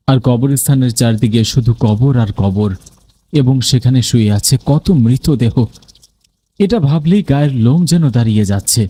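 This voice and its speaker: A man's voice: mid-range voice, mellow. A man narrating a scripted first-person ghost story in a dramatic, suspenseful manner.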